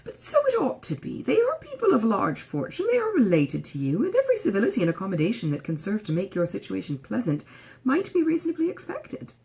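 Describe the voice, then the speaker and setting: A woman voicing a pompous, effusive, self-satisfied gentleman in an audiobook, gushing and fussily over-polite. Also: fairly high pitch, huge pitch swings, fast. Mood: effusive, smug. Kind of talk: audiobook character dialogue.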